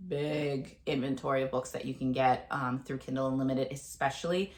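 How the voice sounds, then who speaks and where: A woman in her thirties talking casually to camera about her month's reading, a bit nasal and gravelly from a cold, with plenty of ums and uhs. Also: rumbling low, steady tone, normal speed, a little mellow. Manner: chatty, with frequent ums and uhs. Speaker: book vlogger.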